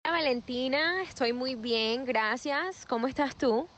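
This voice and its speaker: Animated young woman, slightly high voice. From Venezuela. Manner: animated, quick restarts and repeats. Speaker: actress guest. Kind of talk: interview answers.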